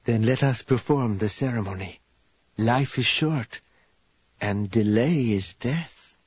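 A man voicing a formal, self-assured wealthy noblewoman for an audiobook, courteous and direct, with measured, old-fashioned phrasing. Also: mid-range voice, slightly rough, dry recording, lo-fi sound. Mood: brisk, reassuring. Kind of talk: audiobook character voice.

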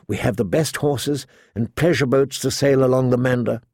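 A man's voice: mid-range voice, moderate pace, a little mellow, dry recording, studio quality, lightly acted. A man voicing a gracious, coaxing young noblewoman in an audiobook, with a courtly, inviting manner.

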